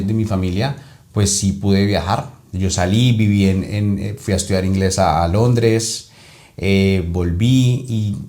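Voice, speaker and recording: A man in his thirties with a Bogotá Colombian accent, a guest telling his story in a relaxed interview, often pausing with fillers and restarts. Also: fairly low pitch. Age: 30s. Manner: hesitant, many fillers and restarts. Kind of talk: casual interview.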